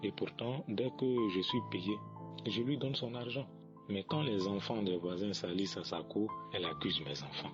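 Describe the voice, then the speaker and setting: Deep-voiced man over clear music. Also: muffled recording. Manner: measured, orderly storytelling. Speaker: caller giving a testimony. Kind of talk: composed spoken testimony.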